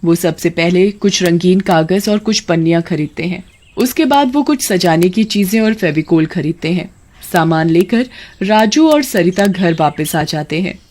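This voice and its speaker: A woman's voice: fairly low pitch, lively intonation, moderate pace, darkish tone. A woman reading scripted narration for a children's moral story as its storyteller.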